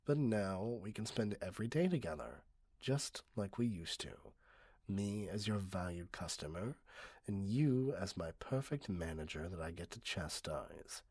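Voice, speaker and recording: A man plays an obsessive, entitled middle-aged customer turned captor in an ASMR roleplay. The voice is deep and breathy-husky, recorded very dry and close. The delivery is self-righteous and condescending, with a cloying possessiveness. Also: expressive, unhurried, darkish tone, no room sound, full character voice. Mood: smug, doting. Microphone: close, dry microphone.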